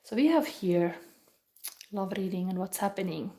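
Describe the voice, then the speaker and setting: A woman from Finland with a Finnish accent, giving a rambling, musing tarot reading in English that often drops to a soft whisper. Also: medium pitch, lively intonation, normal speed. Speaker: tarot reader. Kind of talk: live pick-a-pile tarot reading chat.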